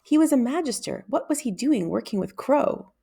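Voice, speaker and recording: A woman's voice: high voice, animated, moderate pace, gravelly, warm tone, breathy edge. A woman reading a children's novel aloud as a scripted storybook narrator.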